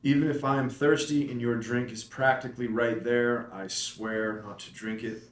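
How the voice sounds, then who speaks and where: An actor reading the part of Ethan, a playful, devoted young husband, in a screenplay table read. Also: male voice, mid-range voice, steady tone, moderate pace, roomy sound, natural voice. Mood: tender.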